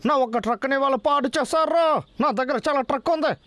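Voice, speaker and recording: A man voicing a hapless, scheming logger villain in a cartoon dub, swinging between boasts and whiny pleas, with loud music behind him. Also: very high-pitched, fairly even intonation, fast talker, a little hiss or hum. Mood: dismayed, whining. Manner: boastful threats and whining pleas. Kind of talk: exaggerated cartoon dub acting.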